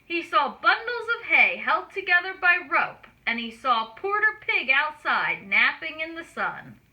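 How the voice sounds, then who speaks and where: A woman narrates a children's story in a high, very bright voice. She takes her time and swoops expressively, explaining things simply for little listeners. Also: big pitch swings, unhurried, rough recording. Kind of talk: children's read-aloud narration with asides.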